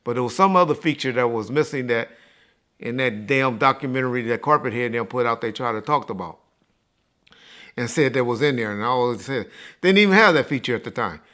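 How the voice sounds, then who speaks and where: A man's voice: mid-range voice, lively intonation, brisk, pushed and bright. An American man hosting a podcast, talking casually in an informal, slangy, emphatic way as he recalls things. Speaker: podcast host. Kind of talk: casual recollection and chat.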